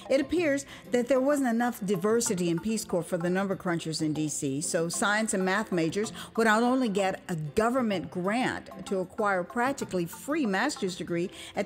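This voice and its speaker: An American woman narrating a dramatized memoir as its author, conversational and expressive, with dramatic pauses. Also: mid-range voice. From United States (Houston, Texas). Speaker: author and storyteller.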